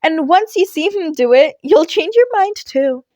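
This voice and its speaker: A woman's voice: extremely high pitch, animated, unhurried, darkish tone, no echo, pitched above the performer's own voice. A woman voicing a confident, teasing female teacher with a breezy manner in a fan fiction reading.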